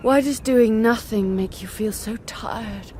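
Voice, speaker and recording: An actress as an unstable, obsessive young nun. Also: female voice, on the high side, measured pace, background noise, close to an everyday voice. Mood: weary. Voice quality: intense and feverish, swinging between pleading and whispered paranoia. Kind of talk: radio drama acting.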